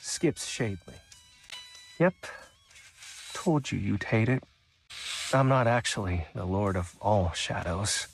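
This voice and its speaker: A man's voice: fairly low pitch, lively intonation, gravelly, faint music behind, exaggerated voice. A man voicing an awkward, friendly, nerdy shadow creature in a voice drama. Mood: sheepish, wistful. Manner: sheepish, halting, earnest. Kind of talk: character voice acting audition reel.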